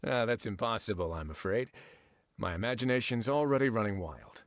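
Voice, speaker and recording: A man's voice: fairly low pitch, lively intonation, normal speed, natural voice. A man playing a wry, self-assured prison fortress administrator in a video game, dryly teasing and nonchalant. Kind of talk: video game story voice acting.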